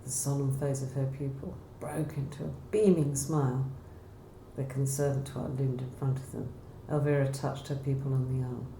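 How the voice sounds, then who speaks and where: A woman's voice: extremely low pitch, steady tone, normal speed, warm tone. A woman reading scripted narration from a novel, telling the story aloud. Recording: roomy echo, faint background noise.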